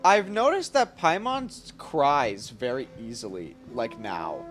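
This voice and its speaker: A male game streamer with a mid-pitched, very expressive voice. He chats casually and playfully in slangy live commentary, with faint music behind him. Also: measured pace.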